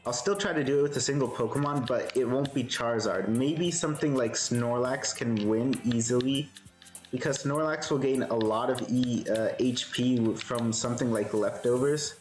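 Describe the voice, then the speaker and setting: A man live-streaming a game, with a soothing voice, thinking aloud in a relaxed way and answering chat. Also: medium pitch, normal speed, echoey room, faint music behind.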